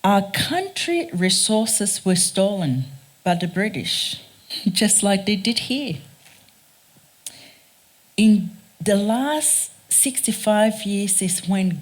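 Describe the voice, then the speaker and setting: A woman from Ghana with a Ghanaian accent, giving a prepared public talk in an earnest, deliberate, emphatic manner. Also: lowish voice. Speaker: guest speaker, race scholar. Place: speaking live at a theatre event.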